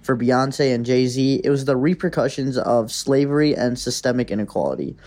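A man's voice: medium pitch, animated, moderate pace, darkish tone. A man leaving a reflective recorded listener message.

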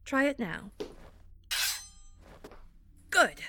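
A woman plays a stern, somewhat strained acting teacher in an audio drama. She has a bright, forceful, fairly high voice and gives clipped, dry instructions at a slow, measured pace. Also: big pitch swings, slight background noise, heavily acted. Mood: instructing. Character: strained, stern actress teaching stage combat.

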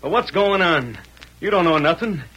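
An actor playing an anxious, distrustful cowboy with an American Western accent and an agitated, complaining manner, in old radio drama style. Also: male voice, fairly high pitch, lively intonation, moderate pace, fairly bright tone, slightly rough. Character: anxious, distrustful young cowboy, wrongly accused. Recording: noisy vintage radio recording.

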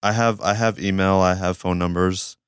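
A podcast guest, a man from Georgia, whose low voice is hoarse but still smooth and resonant. He talks hesitantly, filling gaps with ums. The sound is dry and close. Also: steady tone, normal speed, no echo. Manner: hesitant, filler-heavy. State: hoarse, losing his voice. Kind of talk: casual chat. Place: studio.

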